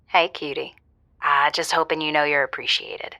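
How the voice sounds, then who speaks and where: A woman playing a sincere, soul-searching radio show caller who speaks deliberately, pausing and restarting in improvised audio comedy. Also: deep voice, steady tone, pushed and bright, no room sound, clean studio sound. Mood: earnest. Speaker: returning caller.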